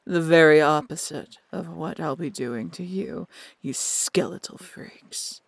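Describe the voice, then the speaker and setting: A woman voicing a huge, proud, protective female dragon in a fantasy audio roleplay, with breathy, husky delivery and tender, formal, theatrical phrasing. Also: deep voice, unhurried, no echo, full character voice. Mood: menacing, proud.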